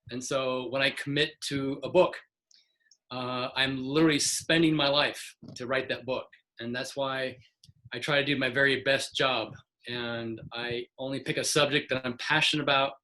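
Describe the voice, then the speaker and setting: A man's voice: medium pitch, steady tone, normal speed, sharp tone, low-quality recording. A man in his sixties, an author on a video call, talking earnestly and encouraging a young reader. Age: 60s. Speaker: author guest. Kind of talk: casual video call chat.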